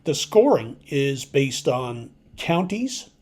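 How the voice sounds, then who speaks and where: Halting man explaining things off the cuff. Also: mid-range voice, big pitch swings, darkish tone. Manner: hesitant, halting, thinking aloud. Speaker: amateur radio video host. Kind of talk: informal unscripted explainer to camera.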